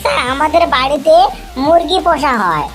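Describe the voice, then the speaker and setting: A woman's voice: high-pitched, very animated, normal speed, fairly bright tone, soft background music. A woman voicing an earnest young animal pupil in an amateur cartoon comedy. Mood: earnest reciting.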